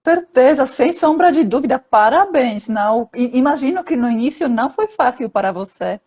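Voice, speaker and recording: Woman, interviewer, slightly high voice, affirming, encouraging questions. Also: lively intonation, normal speed, a little mellow, husky.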